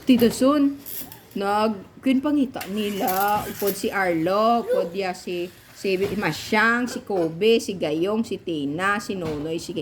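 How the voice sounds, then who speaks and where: A woman telling an improvised story to children in a playful, expressive, informal way. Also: medium pitch, slow, slight background noise.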